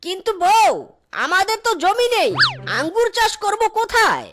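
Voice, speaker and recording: A performer voicing a henpecked, folksy male fox peanut seller in a cartoon, pleading and placating, over loud music. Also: extremely high pitch, sing-song, normal speed, very noisy. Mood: pleading, dismayed. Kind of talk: cartoon voice acting. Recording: loud background music throughout.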